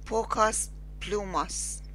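A woman teacher with a Persian accent speaks at a very slow, careful pace, and her voice is bright and forward. She drills phrases one word at a time, with long pauses in between. A faint hum sits under the recording from her desktop mic. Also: mid-range voice. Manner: slow, deliberate, spells out each word with pauses. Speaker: Spanish teacher. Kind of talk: slow screen-recorded language lesson with repeat-after-me drills.

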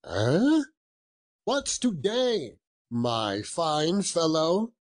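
A man's voice: mid-range voice, very animated, a little slow, darkish tone, slightly rough. A man voicing an elderly reformed miser in a storybook reading, jubilant and effusive, full of exclamations and chuckles. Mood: giddy, delighted.

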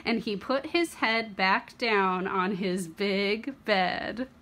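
A young woman reading a picture book aloud to children as host, expressive, with dramatic pauses and emphasis. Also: mid-range voice. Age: young adult.

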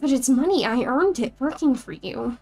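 Woman voicing an angry broke ex-worker, foul-mouthed, high-ish voice. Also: sing-song, moderate pace, creaky, darkish tone, faint music behind, full character voice. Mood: furious. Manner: furious, swearing. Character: angry broke former agency employee, woman.

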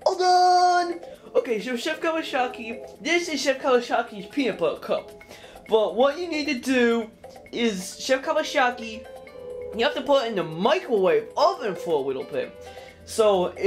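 A young woman casually narrating a plush puppet cooking skit at home, rambling and jokey, correcting herself as she goes. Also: fairly high pitch. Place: home kitchen and dining room.

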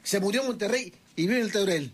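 An actor playing a cheeky, whining, dim-witted Mexican country henchman in a comic rural radio soap, with a husky voice. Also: sits high, fast. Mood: puzzled, comic.

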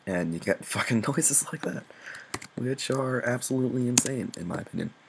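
A man's voice: medium pitch, expressive, moderate pace, gravelly, slight background noise. A young man giving a casual, unscripted tutorial, informal and sweary, poking fun at himself as he explains. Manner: informal, sweary, self-deprecating. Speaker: music production tutorial presenter.

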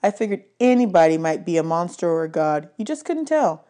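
A woman's voice: fairly low pitch, big pitch swings, a little fast, dark tone. A woman narrating a novel aloud as an audiobook reader. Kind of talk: read-aloud story narration.

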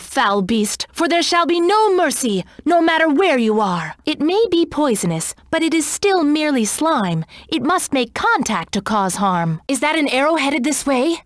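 A young female cat knight from a video game, with a high, very animated voice. She is proud and composed, phrasing things in a formal, old-fashioned and haughty way. The sound is close and dry, free of room echo. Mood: stern, disdainful. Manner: formal, archaic, haughty diction. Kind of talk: video game character voice clips.

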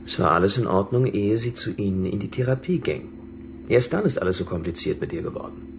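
An actor playing an irritable, suspicious husband in a radio drama, answering curtly and defensively. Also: male voice, on the low side, animated, brisk, vocal fry, a little hiss or hum. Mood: wary, defensive. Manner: curt, indignant, suspicious. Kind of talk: German radio drama acting.

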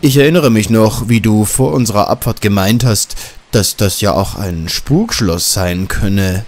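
A man's voice: fairly low pitch, measured pace. Audiobook role: self-assured male journalist, breezy and teasing read by a man. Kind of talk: audiobook dialogue.

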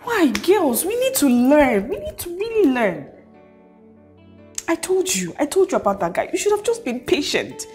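Outspoken best friend, highish voice, swooping intonation, exclamatory, repetitive, emotional film drama. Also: female voice, very animated, moderate pace, a little mellow, faint music behind. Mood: scolding, emphatic. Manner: exclamatory, repeats phrases for emphasis. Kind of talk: melodramatic film acting.

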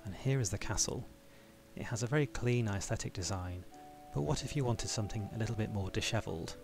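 A man with a low voice and a gravelly, fry-edged texture narrates a review for his channel. His delivery is measured and tidy, like a read script. Soft music sits faintly beneath him. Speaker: toy-building channel host.

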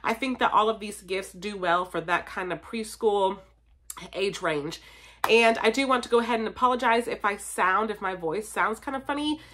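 A woman's voice: mid-range voice, normal speed, fairly bright tone. A woman in her thirties chatting casually to camera as a vlogger, sounding congested from a cold, upbeat and full of ums and likes. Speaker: vlogger, stay-at-home mom. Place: home basement, indoors. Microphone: camera in front, seated framing.

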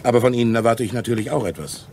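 An actor playing a self-interested company boss in a radio crime drama, patronising and conversational. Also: male voice, mid-range voice, rapid-fire, darkish tone, close to an everyday voice. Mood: calculating, patronising. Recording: faint noise, ambience behind.

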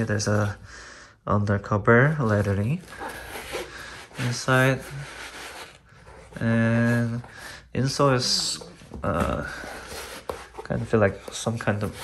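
A man with a fairly low voice talks slowly through a casual product review. He rambles and stops and starts, swearing mildly now and then. The home recording has clear background noise and small clicks. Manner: halting, rambling, loosely worded with mild swearing. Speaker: sneaker and boot reviewer. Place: small indoor room at a desk.

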